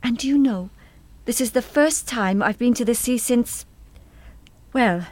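Actress as a wistful, halting German woman, close and dry. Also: on the high side, a little mellow, dry recording, natural voice. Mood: dreamy, nostalgic. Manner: reflective, halting, trailing into reverie. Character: wistful, hesitant German woman visiting the French seaside. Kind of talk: radio play acting.

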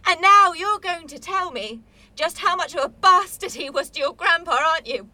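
A woman's voice: very high-pitched, lively intonation, moderate pace, pushed and bright, dry recording, heavily acted. An actress in a radio play voicing a blunt, bitter woman burdened by family guilt, with a sardonic, probing manner. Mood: confrontational.

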